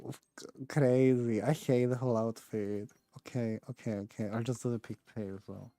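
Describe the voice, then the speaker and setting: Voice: medium pitch, steady tone, moderate pace, mellow, dry recording, studio quality. A game streamer muttering casually and indecisively to themselves while playing. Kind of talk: casual gameplay commentary.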